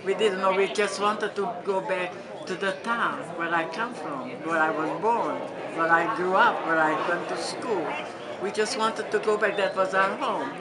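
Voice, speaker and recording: Older woman, Hungarian accent, medium pitch, conversational personal testimony, recorded in a large room with loud crowd sound. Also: normal speed. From Transylvania, Romania. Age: older, 70s or 80s. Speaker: Holocaust survivor giving testimony. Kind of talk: spoken personal recollection to an audience. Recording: large room with audience murmur.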